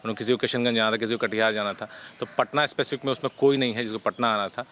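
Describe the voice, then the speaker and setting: A man's voice: medium pitch, fairly even intonation, rapid-fire, sharp tone. Indian police officer, man, noisy surroundings. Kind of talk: press interview answers, mixing Hindi and English.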